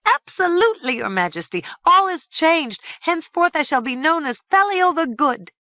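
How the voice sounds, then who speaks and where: A woman voicing a mischievous male fairy trickster in a high, chirpy voice, eager to please. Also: sing-song, normal speed, pushed and bright, no room sound, exaggerated voice.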